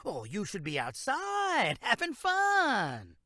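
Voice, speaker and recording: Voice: medium pitch, huge pitch swings, slow, sharp tone, no echo, exaggerated voice. A voice actor playing a lazy, folksy, condescending older small-town sheriff in a horror audio drama. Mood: folksy, patronizing. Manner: drawling, folksy, dismissive.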